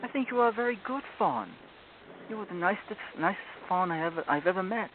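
A man reading a storybook, voicing a kind, earnest little girl in a gentle, reassuring way. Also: high voice, expressive, fast talker, pitched above the performer's own voice. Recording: tinny phone-like audio with loud noise.

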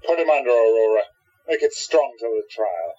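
A man's voice: mid-range voice, lively intonation, moderate pace, mellow, breathy edge. An actor playing a protective, devoted hero who narrates and speaks his part in a radio play, earnestly, on dull lo-fi audio. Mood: earnest, urgent. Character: protective, devoted male hero with secret powers. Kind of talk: radio play acting and first-person narration.

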